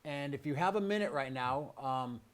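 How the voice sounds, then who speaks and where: A man's voice: mid-range voice, lively intonation, moderate pace. A man hosting a live arts broadcast in a casual, conversational way. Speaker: host of a live arts radio and TV show. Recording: live broadcast with background noise.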